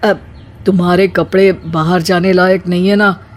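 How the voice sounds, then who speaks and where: A woman's voice: fairly low pitch, level tone, normal speed, lightly acted. A woman playing a greedy, stern and suspicious older mother-in-law in a comic story, with theatrical scolding and exclamations. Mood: suspicious, sarcastic. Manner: scolding, exaggerated exclamations, sarcastic. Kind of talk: Hindi comic story acting.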